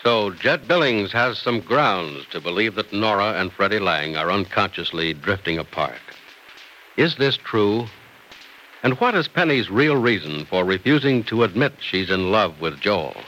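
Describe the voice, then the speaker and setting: An American man announcing on old-time radio, delivering narration and sponsor pitches in a polished, emphatic salesman's style. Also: animated, measured pace, sharp tone, a little hiss or hum, rough recording. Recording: old radio transcription recording.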